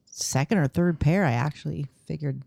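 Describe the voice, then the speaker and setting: A woman chats casually as a podcast co-host, mostly with short replies and easy laughs. Her voice is mid-pitched, and the mic is dry and close. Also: normal speed, vocal fry, warm tone, husky, no room sound. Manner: brief, laughing backchannel responses.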